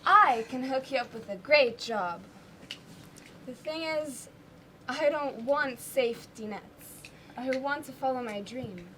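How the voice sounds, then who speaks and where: A woman's voice: high voice, a little slow, long pauses, fairly bright tone, a little hiss or hum, rough recording. A young woman student acting in an amateur stage play as a discouraged, defiant drama student. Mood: downcast. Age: teenager or young adult student performer.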